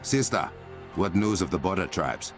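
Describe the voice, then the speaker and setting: An actor playing a stern, regal warrior king in a video game, commanding, formal and measured. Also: low-pitched, expressive, over music. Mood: dry, composed. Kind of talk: video game cutscene acting.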